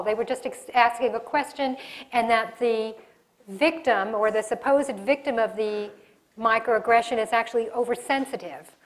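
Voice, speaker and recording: A middle-aged woman giving a live workshop in a conference room, talking with her hands and often restarting her sentences. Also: a little high. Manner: animated, gestures a lot, restarts sentences. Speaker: diversity workshop presenter. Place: conference room in front of a projection screen.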